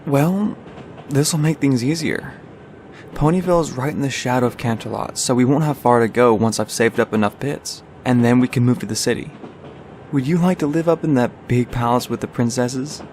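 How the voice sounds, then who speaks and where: A man voicing a young pony, a gentle, protective big brother who speaks in a soft, reassuring way in a story. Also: medium pitch, moderate pace, a little hiss or hum, natural voice. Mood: encouraging. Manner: soft, encouraging, caring.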